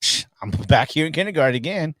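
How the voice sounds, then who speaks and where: A man's voice: fairly high pitch, lively intonation, fairly bright tone, no echo. Chatty man in his forties. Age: 40s. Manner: rambling, halting, with frequent fillers and laughs. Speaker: host. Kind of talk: casual live-stream chat.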